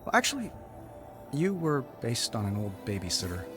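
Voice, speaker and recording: Male superhero inventor, video game: driven, casual, sardonic quips, animated mid-range voice, clear music behind. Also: mid-range voice. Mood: wry, sincere. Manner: sardonic, casual, quick to quip.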